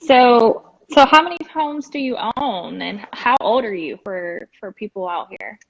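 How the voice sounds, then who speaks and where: A woman's voice: on the high side, a little slow. Woman hosting a video-call interview. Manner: encouraging, brief affirmations. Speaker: host. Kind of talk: casual online interview. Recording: dull dry video-call audio.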